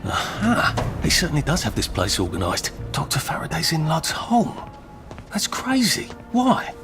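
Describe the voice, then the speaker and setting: A man's voice: fairly high pitch, wildly swooping pitch, moderate pace, gravelly, music in the background, full character voice. A man with a British accent playing a polite, inquisitive, fretful everyman in a video game, wry and muttering. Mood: puzzled. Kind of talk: video game character acting.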